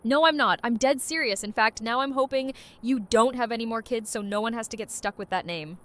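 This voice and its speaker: A woman's voice: on the high side, fairly quick, a bit bright, lightly acted. A woman playing a wry, blunt survivor and loyal friend in an audio drama, casual and teasing.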